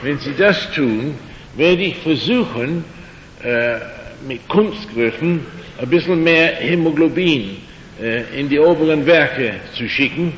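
An older man speaking German as his second language gives an evening talk, unhurried and halting, with dry little jokes. The recording is dull and lo-fi, the voice bounces around a big echoey hall, and a faint low rumble sits underneath. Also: animated. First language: not German. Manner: slow, halting, with dry humor and many fillers. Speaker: lecturer. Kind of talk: evening lecture on creation and faith.

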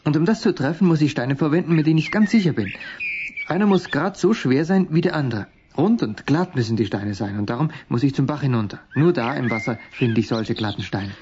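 A male actor as a confident young shepherd with a medium-pitched voice. He explains things earnestly and resolutely, in radio-drama style, on a dull recording with faint noise. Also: expressive, a little fast, lightly acted. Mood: reasoning, intent. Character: young shepherd slinger, devout, determined, confident. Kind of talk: radio play acting.

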